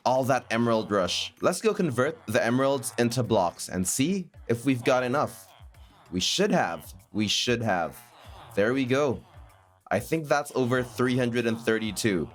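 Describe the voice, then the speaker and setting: Raspy-voiced man with a cold narrating gameplay. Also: mid-range voice. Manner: chatty, self-mocking, upbeat. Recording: faint background music.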